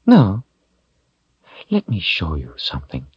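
A man voicing a smug, villainous sorcerer in an audiobook, taunting and deliberate, with theatrical mock courtesy. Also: sits low, huge pitch swings, husky, no echo, muffled recording, exaggerated voice. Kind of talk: audiobook character voice.

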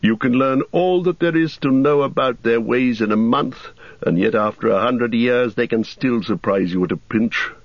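A man's voice: mid-range voice, moderate pace, no echo, muffled recording, pitched above the performer's own voice, natural voice. A man voicing a stern, wise old male wizard who speaks in a grave, commanding way. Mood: grave counsel.

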